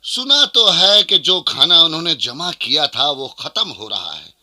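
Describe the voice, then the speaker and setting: An actor playing an excited, knowing Indian townsman of 1857 who tells news in an animated, gossipy way in a radio play. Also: on the high side, a little slow, exaggerated voice. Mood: informing. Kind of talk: Hindi radio play dialogue.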